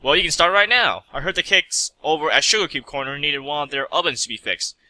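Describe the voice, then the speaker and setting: A man's voice: on the high side, fast, sharp tone. A man voicing a pleasant pony town mayor in a story reading. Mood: pleased.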